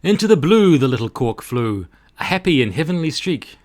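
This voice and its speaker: Very expressive man reading a storybook. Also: on the high side, normal speed. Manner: rhythmic rhyming delivery. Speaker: storybook reader. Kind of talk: expressive scripted narration.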